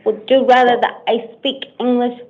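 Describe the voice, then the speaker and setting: Woman, from arizona, defendant, halting, stumbling, phone-thin sound. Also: a little high, level tone. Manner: halting, hesitant, stumbles over her words. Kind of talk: court hearing. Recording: tinny court livestream audio.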